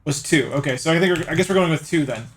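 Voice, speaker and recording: A man's voice: on the high side, fast, a bit bright, slight background noise. A man hosting a livestream playthrough, chatting casually and playfully with viewers. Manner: playful, talks to chat by name. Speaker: livestream host playing a visual novel. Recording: game music behind. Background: game music.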